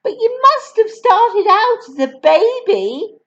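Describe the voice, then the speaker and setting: Woman playing a brave little schoolboy, super high squeaky nervous voice, muffled audio. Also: very animated, slow, heavily acted. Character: brave small schoolboy, cheeky and quick.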